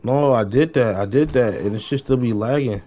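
A man's voice: mid-range voice, normal speed. A young man chatting casually on a gaming livestream in slangy, profane street talk. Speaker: game livestreamer. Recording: dull lo-fi mic with noise.

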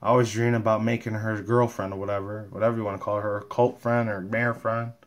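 A man's voice: fairly low pitch, flat delivery, fairly quick. A man hosting a casual fan vlog, rambling along in slangy commentary. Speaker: YouTube host and fan comic dubber.

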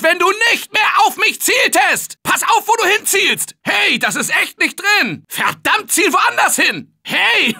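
A man voicing an earnest, panicky office guy surviving a zombie apocalypse in a video game, husky and emphatic in colloquial short barks. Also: very high voice, bright, forceful tone, exaggerated voice. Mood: pained, indignant.

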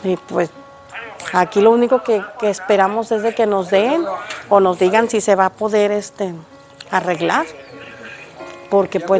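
Older woman, Mexican Spanish accent, gravelly, hesitant restarts, outdoor street interview, faint music behind. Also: mid-range voice, darkish tone. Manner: halting, hesitant, many restarts. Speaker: interviewed resident. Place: outdoors on a street by a house gate.

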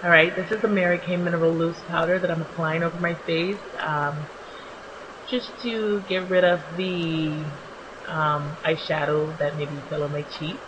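A young woman narrates a home makeup tutorial in a relaxed, chatty voice-over, her voice a little raspy because she has just woken up. She fills pauses with ums as she goes. A clear hiss of noise runs under the home recording. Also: lowish voice, dull audio. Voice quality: slightly raspy. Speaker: beauty vlogger. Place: small home bathroom.

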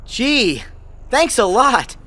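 Eager, lively teenage boy hero. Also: extremely high pitch, drawn-out words, exaggerated voice. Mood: puzzled, teasing. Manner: earnest, eager, boyish. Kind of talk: English video game voice acting.

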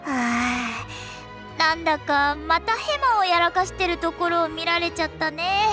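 A youthful cat-spirit courier in a game. The girl has a high, lively voice, polite but easily flustered, hesitant and apologetic, with music behind her. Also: a little slow. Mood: friendly, sheepish. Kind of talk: video game voice acting in Japanese.

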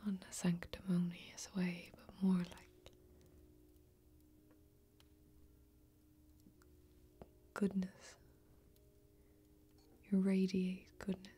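A young woman playing a kindly, protective fantasy warrior in a soft-spoken ASMR role-play, gentle, whispery, folksy and reassuring, close to the microphone. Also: lowish voice, monotone, measured pace, slightly rough. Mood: admiring, tender. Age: young adult.

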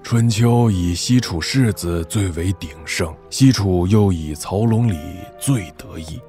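A man's voice: sits low, unhurried, mellow, soft background music. A man playing a shrewd, wry military strategist in a wuxia audio drama, speaking in an analytical, sardonic way. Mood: reflective, appraising. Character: shrewd, wry male military strategist weighing rival commanders.

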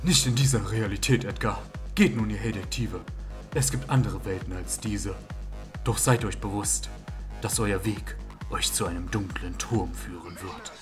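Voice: sits low, normal speed, music in the background, natural voice. A performer in a parody audio drama plays a mystical man who has visions and speaks portentously. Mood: calm, portentous. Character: calm, mystical male companion prone to visions.

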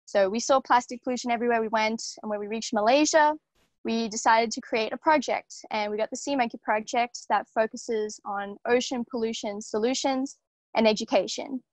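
A woman's voice: a little high, fairly quick, no echo. A fifteen-year-old girl, casually introducing herself as a panelist on a video call. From Australia. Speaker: panelist, young activist. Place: inside a boat. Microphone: wired earphones on video call.

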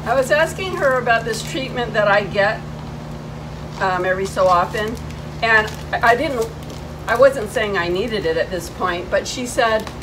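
A woman's voice: medium pitch, lively intonation, moderate pace. A woman in her fifties chatting casually to camera, telling a personal story in an indignant, emphatic way, recorded outdoors on a porch. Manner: indignant, emphatic, retelling exchanges. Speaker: vlogger. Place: covered porch outside a house. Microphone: camera a few steps away. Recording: clearly noisy outdoor ambience.

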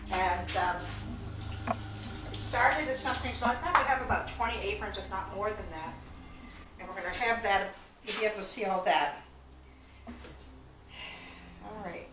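An older woman demonstrating a recipe in her home kitchen, chatting casually and correcting herself as she works. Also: medium pitch. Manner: chatty, self-correcting, talks while working with her hands. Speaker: home cooking vlogger. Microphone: far camera. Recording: roomy kitchen sound, mic at a distance, music behind.

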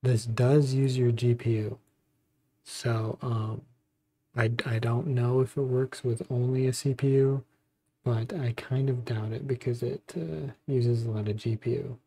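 A man hosting a casual software walkthrough, speaking in a halting, informal way. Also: medium pitch, steady tone. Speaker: tutorial host. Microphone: close budget desktop microphone.

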